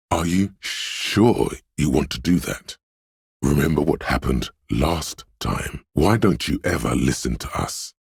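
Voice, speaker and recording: A man's voice: darkish tone, no room sound, clean studio sound, exaggerated voice. A man voicing a brooding, eerie inner voice of intuition in a video game, speaking intimately and poetically in a mournful, ominous, dreamlike way. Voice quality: very deep, husky, gravelly whispery rumble. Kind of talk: video game voice acting, literary second-person narration.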